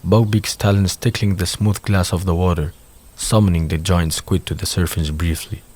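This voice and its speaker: A man's voice: sits low, fairly even intonation, moderate pace, a little mellow, slightly rough. A man reading scripted narration for an audio drama.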